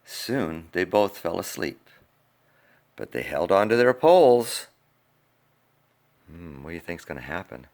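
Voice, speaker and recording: Animated man reading a children's storybook. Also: sits low, measured pace, long pauses. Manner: expressive, playful, addresses young listeners directly. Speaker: coach reading a picture book aloud to schoolchildren.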